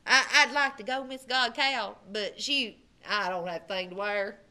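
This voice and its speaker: A woman's voice: fairly high pitch, normal speed, pushed and bright, smooth. A woman doing a shy humble young cowboy.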